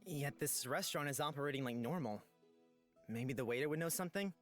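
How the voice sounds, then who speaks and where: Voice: mid-range voice, a little fast, faint music behind, natural voice. An actor voicing an earnest, anxious exiled boy searching for answers in a fantasy visual novel, with a hesitant manner. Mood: uneasy, curious.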